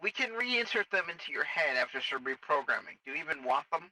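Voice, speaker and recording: Sharp, bright-voiced man in game roleplay. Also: fairly high pitch, very animated, quick pace, no room sound, recorded from a distance, poor audio. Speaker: roleplayer acting as a Star Wars officer. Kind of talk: improvised in-character roleplay chat in an online game.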